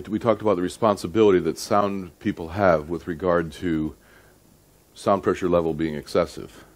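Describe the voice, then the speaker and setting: A middle-aged man lecturing at a seminar in a deliberate, emphatic but conversational way, often checking in with his listeners. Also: sits low, a little mellow. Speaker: audio engineering lecturer.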